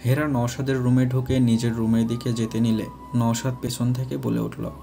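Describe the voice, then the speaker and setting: Male romantic-story narrator, mid-pitched, warm and level delivery. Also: level tone, moderate pace, creaky, faint music behind.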